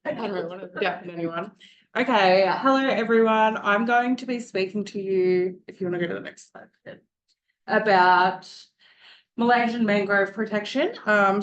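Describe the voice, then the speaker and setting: Woman, student presenter, mid-pitched projecting voice, live talk with light room noise behind. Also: lo-fi sound. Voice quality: loud, projecting voice. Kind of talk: prepared presentation to an audience.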